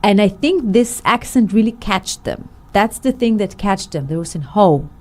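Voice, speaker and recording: A woman's voice: medium pitch, expressive, normal speed, a little mellow. A middle-aged woman, a singer, telling her story in an interview with many fillers and restarts. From Israel. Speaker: guest, singer. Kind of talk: interview conversation.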